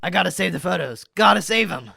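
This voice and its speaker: A woman's voice: on the low side, expressive, fast talker, gravelly, background noise. A woman playing a bossy, frantic blackmailing gang boss who barks curt orders in a radio drama. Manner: curt, barking urgent orders.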